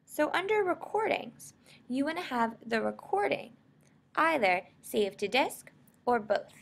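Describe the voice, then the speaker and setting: American female instructor for tutorial narration, informal and explanatory, lively intonation with a creaky edge. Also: high-pitched, unhurried. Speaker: software instructor.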